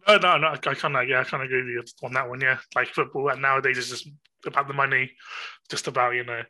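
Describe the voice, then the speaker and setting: A man's voice: medium pitch, fairly even intonation, fast talker, bright, forceful tone. A man hosting a podcast, chatting casually with frequent laughs and many fillers and repeated words. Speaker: podcast host. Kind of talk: casual chat.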